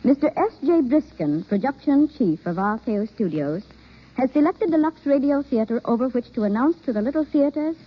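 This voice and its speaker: A woman reading a formal, deliberate scripted announcement in the style of 1930s radio. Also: high voice, lively intonation. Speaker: guest announcer. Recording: dull, lo-fi old radio broadcast.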